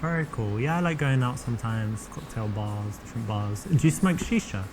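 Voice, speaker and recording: A man's voice: medium pitch, a little fast, over music, close to an everyday voice. An actor playing a chatty English man with a London accent, flirting on a date in a game. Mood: flirty. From England. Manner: chatty, relaxed, flirty small talk. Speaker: player character on a date.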